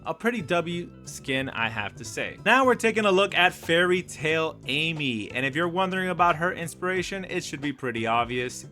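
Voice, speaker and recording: A man's voice: on the high side, pushed and bright. A man giving casual, candid game review commentary, conversational and opinionated as he goes. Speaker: video game YouTuber reviewing skins.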